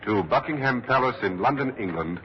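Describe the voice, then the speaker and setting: An actor playing a grave, earnest news reporter in a dramatic, formal 1940s radio style. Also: male voice, medium pitch, expressive, fairly quick, natural voice. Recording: muffled, noisy 1940s radio broadcast.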